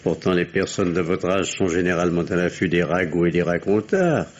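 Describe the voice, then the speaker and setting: A man's voice: deep voice, lively intonation, a little fast. An actor playing a nervous, sarcastic middle-aged family man in a French radio drama, hesitant and swinging between obsequious and indignant. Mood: defensive, sarcastic. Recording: dull, noisy old radio recording.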